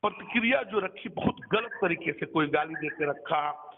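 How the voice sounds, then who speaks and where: A man's voice: a little high, animated, moderate pace. A man interviewing someone over the phone, asking leading questions and deferentially addressing the guest as brother. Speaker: interviewer, reporter. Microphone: phone call. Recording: tinny phone line, noisy, clicks.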